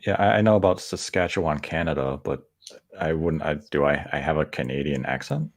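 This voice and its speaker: A soft-spoken man co-hosting a podcast, reading viewer comments aloud in casual chat. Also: rumbling low, brisk, no room sound. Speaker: podcast co-host.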